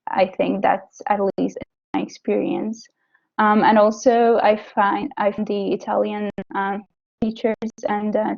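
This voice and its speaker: A woman's voice: medium pitch, creaky, highs cut off. A young woman university student, not a native English speaker, talks informally about her courses, hesitating often with ums and restarts. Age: young adult. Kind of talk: informal talk on course choices.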